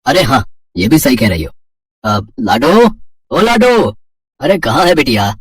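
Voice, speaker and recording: A man's voice: mid-range voice, sing-song, a little slow, slightly rough, recorded from a distance. A man voicing a teasing, doting middle-aged father in a Hindi cartoon story. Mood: upbeat. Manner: playful, teasing.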